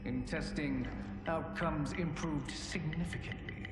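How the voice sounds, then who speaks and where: An actor playing a scheming male sorcerer alchemist in a video game cutscene, measured, precise and condescending, over loud orchestral music. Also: a little high, natural voice. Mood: calm scheming. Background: loud orchestral game score.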